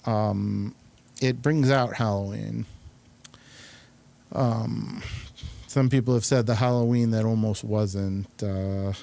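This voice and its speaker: Halting man hosting a casual chat. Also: lowish voice, steady tone, vocal fry, warm tone. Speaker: host.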